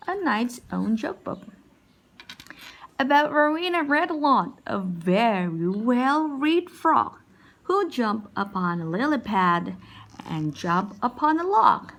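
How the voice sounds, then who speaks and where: A woman reading a children's picture book aloud in an expressive, animated and unhurried storytelling manner. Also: a little high. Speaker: storybook reader.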